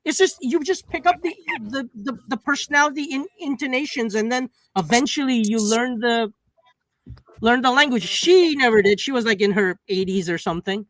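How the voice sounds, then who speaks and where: A man bantering casually on a livestream panel, loud, combative and full of swearing. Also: extremely high pitch, normal speed, rough recording. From born in South Asia, moved to Canada at nine.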